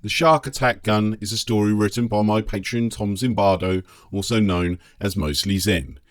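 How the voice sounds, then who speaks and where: Low-pitched male audiobook narrator. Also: steady tone, normal speed, no echo, clean studio sound. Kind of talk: dramatic scripted story narration.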